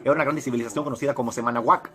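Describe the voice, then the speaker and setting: A man giving measured, explanatory commentary and repeating phrases for emphasis. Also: mid-range voice, steady tone, fairly bright tone, faint music behind. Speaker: commentator in a played video.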